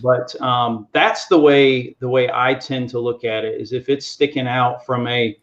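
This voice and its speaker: A man on a video call explaining a software tool in a halting, step-by-step way. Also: medium pitch, steady tone. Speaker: panelist, software coach. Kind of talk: casual explanation. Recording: dull lo-fi call audio.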